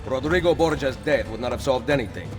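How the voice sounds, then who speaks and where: A man's voice: mid-range voice, animated, a little fast, music in the background, natural voice. A man voicing a resolute young assassin. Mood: determined. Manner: earnest, resolute, curt. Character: grim young male assassin, determined and defiant. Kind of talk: video game cutscene dialogue.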